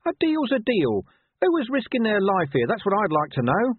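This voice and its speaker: A man's voice: high-pitched, huge pitch swings, moderate pace, slightly rough, no echo, pitched above the performer's own voice, exaggerated voice. A man voicing a scruffy, cheeky little male dog who talks in a wheedling, indignant way. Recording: tinny phone-like audio.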